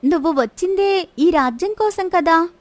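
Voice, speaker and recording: Bold young storybook princess, played by a female narrator: high voice, probing and teasing, dry sound. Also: moderate pace, no room sound. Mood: teasing, testing. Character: bold young princess disguised as a palace servant.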